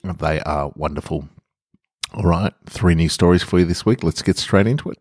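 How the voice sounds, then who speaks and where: Very deep male storyteller, close and dry. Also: normal speed, a little mellow, breathy edge, dry recording. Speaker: podcast host and story reader. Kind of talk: scripted story narration with casual intros.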